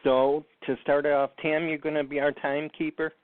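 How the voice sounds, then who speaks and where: A man hosting a call-in radio talk show, speaking slowly with frequent ums and pauses. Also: fairly high pitch, flat delivery, slight background noise. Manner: slow, halting, many ums. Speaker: host. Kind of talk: casual radio chat. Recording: tinny phone line, dry.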